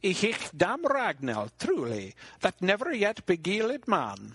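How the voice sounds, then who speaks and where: A man's voice: sits high, huge pitch swings, a little slow, fairly bright tone, a little hiss or hum, pitched above the performer's own voice, exaggerated voice. A man voicing a loathly old hag who demands a knight for a husband, declaiming archaic verse. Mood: demanding.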